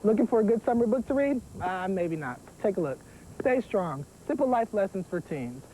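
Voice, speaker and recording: A woman's voice: medium pitch, big pitch swings, moderate pace, music in the background, poor audio. A woman giving a scripted book review as a presenter on a youth TV show. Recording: faint background noise.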